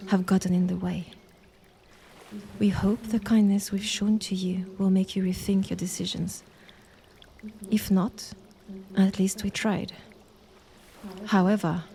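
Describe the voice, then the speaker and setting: A woman playing a calm, stern younger monster sister in an audio drama, with measured, formal delivery. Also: mid-range voice. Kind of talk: dramatic audio roleplay acting.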